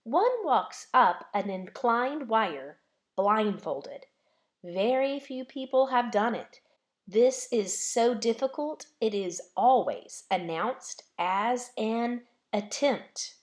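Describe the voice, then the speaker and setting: A woman voicing a seasoned male high-wire performer in a storybook, a patient and earnest teacher who speaks in instructive declarations. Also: animated, slow.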